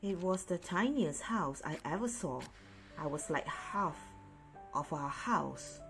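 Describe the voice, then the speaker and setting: A woman reading a children's picture book aloud in an expressive storytelling way. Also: low voice. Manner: deliberate, animated storytelling. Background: music.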